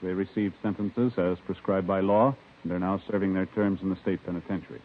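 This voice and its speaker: An American man making solemn, measured announcements in formal 1950s radio style. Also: low voice, fairly even intonation, heavy background noise. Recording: muffled old radio broadcast. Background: loud brass music.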